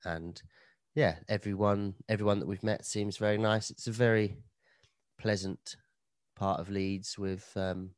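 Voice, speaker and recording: Man, very low voice, dry close sound, rambling banter, a bit hungover. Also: flat delivery, unhurried, no room sound. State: slightly hungover. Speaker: podcast co-host. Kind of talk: casual chat.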